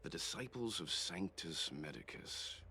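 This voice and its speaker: Very deep, grave game warrior. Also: male voice, expressive, vocal fry, pushed and bright, slight background noise, full character voice. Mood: grave, pondering. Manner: measured, serious. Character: grave, analytical male warrior pondering a threat. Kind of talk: video game voice acting.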